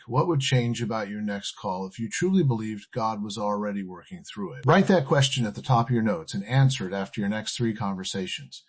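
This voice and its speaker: A man's voice: lowish voice, husky. A man hosting a podcast, giving scripted motivational teaching in short, emphatic, earnest phrases. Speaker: podcast host.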